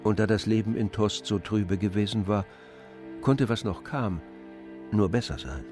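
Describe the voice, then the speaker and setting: A man's voice: fairly low pitch, moderate pace, over loud music. A man reading scripted literary narration for an audio drama.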